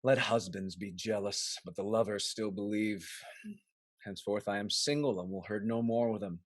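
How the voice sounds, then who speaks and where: An actor playing a bitter, cuckolded husband in a Restoration comedy, scheming and sardonic, with florid, declamatory delivery. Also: male voice, on the low side, steady tone, moderate pace, no room sound, lightly acted. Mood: cynical, smug. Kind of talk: theatrical Restoration comedy acting.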